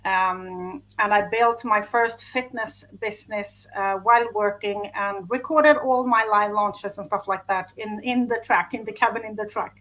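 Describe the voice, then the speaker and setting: A woman's voice: mid-range voice, a bit bright, rough recording. A woman from Sweden with a Swedish accent, talking casually as a podcast guest about her work and her story, laughing now and then. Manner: chatty, laughs while telling her story. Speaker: guest, business strategist. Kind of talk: casual interview talk.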